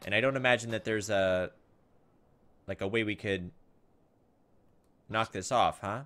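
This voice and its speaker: A man's voice: lowish voice, measured pace, bright, forceful tone. A man giving casual gameplay commentary as a let's play host, thinking aloud in a hesitant, musing way.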